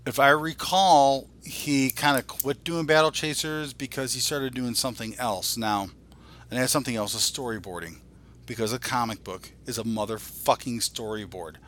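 Blunt, bright-voiced man ranting with heavy swearing. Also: mid-range voice, fairly even intonation, moderate pace, slight background noise. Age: 40s. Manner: heavily profane, blunt, exasperated. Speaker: podcast co-host. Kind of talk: casual opinionated podcast rant.

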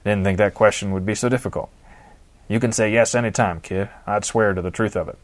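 A male narrator voicing a gruff, ornery older man with a folksy way of talking. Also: on the low side, fairly even intonation, brisk, close to an everyday voice. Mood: earnest. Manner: gruff, loud, folksy banter.

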